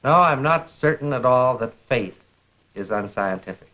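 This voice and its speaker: A middle-aged American man presenting an educational lecture in a measured, deliberate manner, posing rhetorical questions, on a 1950s film soundtrack. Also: medium pitch, expressive, muffled recording.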